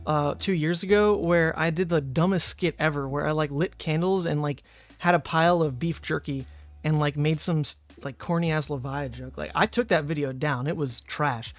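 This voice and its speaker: Male streamer, hoarse and rambling, a touch high-pitched. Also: fairly even intonation, normal speed, dry recording, soft background music. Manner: rambling and chatty, frequent "uh" and "like". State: hoarse, voice partly gone. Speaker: card game streamer. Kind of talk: casual livestream chat.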